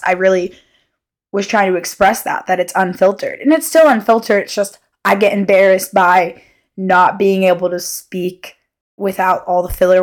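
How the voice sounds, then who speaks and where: A woman of twenty hosting a solo podcast in a casual, unscripted way, candid and rambling, often interrupting herself. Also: mid-range voice. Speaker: podcast host.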